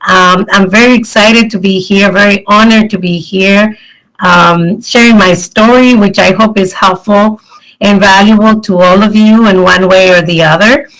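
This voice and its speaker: A middle-aged woman from the Dominican Republic speaks as a guest at an online event, with a voice of ordinary pitch. She sounds earnest and warm, and often stops to say um. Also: poor audio. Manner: warm, earnest, many ums.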